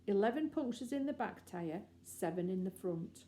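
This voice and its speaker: A woman's voice: medium pitch, animated, normal speed, dark tone. A woman reading a children's storybook aloud as scripted narration. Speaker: audiobook reader.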